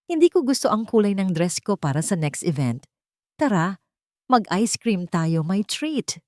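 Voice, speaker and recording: A woman's voice: mid-range voice, sing-song, a little slow, dark tone, no echo, clean studio sound. A woman voicing a glamorous, scheming fiancée with an affected sweetness, in a narrated story. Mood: sugary. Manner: saccharine, affected.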